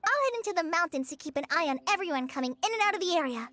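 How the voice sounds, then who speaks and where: Squeaky, chirpy little fairy companion. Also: female voice, lively intonation, racing, sharp tone, full character voice. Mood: perky. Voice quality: squeaky, childlike. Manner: chatty, speaks of self in third person. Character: small childlike female fairy companion, chirpy, talks in third person. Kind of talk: video game voice acting.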